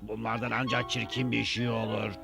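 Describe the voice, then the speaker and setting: A man playing a grumpy, dismissive little tailor Smurf with a gravelly voice in a dubbed cartoon. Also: fairly low pitch, flat delivery, moderate pace. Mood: grumbling. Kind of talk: dubbed children's cartoon acting. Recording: music behind the voice.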